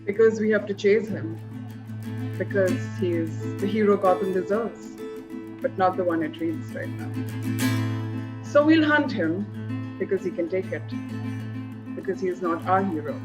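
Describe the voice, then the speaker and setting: A woman acts out an earnest police commissioner in a mid-pitched voice. The delivery is solemn and sorrowful, like a dramatic speech, with loud guitar music behind it. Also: animated, unhurried, pauses often, close to an everyday voice. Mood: solemn, reverent. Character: earnest, grief-stricken male police commissioner. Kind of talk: amateur dramatic table read.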